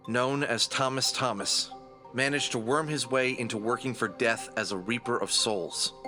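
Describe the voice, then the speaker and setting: A man playing an earnest adventurer who leads a gang through a grim crisis, briefing them seriously and deliberately in a fantasy audio drama. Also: medium pitch, close to an everyday voice. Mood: grave, explaining.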